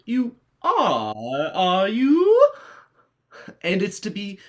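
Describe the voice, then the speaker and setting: Man as excitable young boy, playful, hugely swooping intonation, very slow drawn-out words, stage play reading. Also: high-pitched, exaggerated voice. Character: young Christopher Robin, excitable boy playing with toys. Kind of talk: dramatized play script reading.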